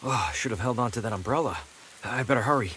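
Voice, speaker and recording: A man's voice: mid-range voice, fast, pushed and bright, slight background noise, lightly acted. A man playing a wry, down-to-earth traveling salesman who thinks aloud in a dry, conversational way. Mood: puzzled, determined. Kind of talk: video game character acting, thinking aloud.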